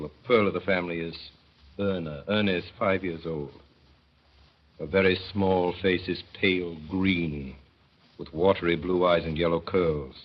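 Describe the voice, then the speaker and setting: Deep-voiced man narrating old radio drama. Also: slightly rough. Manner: measured, wry storytelling with deliberate pauses. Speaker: narrator and lead performer. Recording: dull 1930s radio broadcast.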